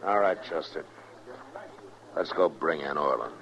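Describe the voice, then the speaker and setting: An actor playing a stern, steady American marshal in a western radio drama, speaking in terse, commanding phrases. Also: male voice, a bass voice, measured pace, creaky, heavily acted. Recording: muffled, noisy old radio recording.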